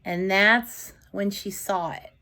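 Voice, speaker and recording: A woman reading a story aloud to young children in an expressive, dramatic storybook manner, with asides to her listeners. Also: medium pitch, slow pace.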